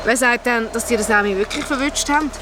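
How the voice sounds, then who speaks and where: Children's radio play guide woman acting, Swiss German, young, high voice, practical and reassuring, noisy background. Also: quick pace, natural voice. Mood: firm, calming. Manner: firm, explanatory, practical. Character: Sina, level-headed, reassuring young guide and companion.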